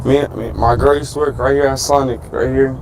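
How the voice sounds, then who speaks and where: Young man, 19, street interview, slangy, relaxed. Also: mid-range voice, fairly quick, slight background noise. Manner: casual, slangy.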